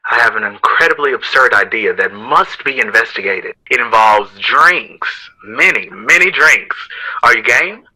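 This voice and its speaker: A theatrical, witty jazz composer of the 1960s in an audio drama. His voice is strikingly bright and forward, with lively melodic swings, and he phrases everything in a flowery, playful, arch way. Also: medium pitch, moderate pace, slightly rough, heavily acted, poor audio. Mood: playful, conspiratorial. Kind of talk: period audio drama dialogue.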